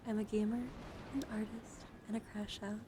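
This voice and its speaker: A woman hosting an ASMR livestream, speaking softly and breathily into a close mic, often slipping into whispers, in a playful, cutesy, scattered way. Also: mid-range voice, little pitch movement, normal speed, noisy background. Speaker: livestream host. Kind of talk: ASMR livestream, whispering and soft talk to chat.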